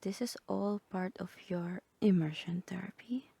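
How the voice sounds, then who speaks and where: A woman voicing a calm, composed therapist in a soft, breathy, half-whispered ASMR roleplay, measured and clinical. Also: lowish voice, no echo, heavily acted. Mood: calm, reassuring. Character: calm, composed female therapist guiding a patient through roleplay.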